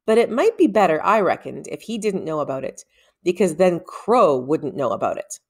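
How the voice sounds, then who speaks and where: A woman narrating a story aloud in an expressive, deliberate storybook style with dramatic phrasing. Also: lowish voice, slightly rough.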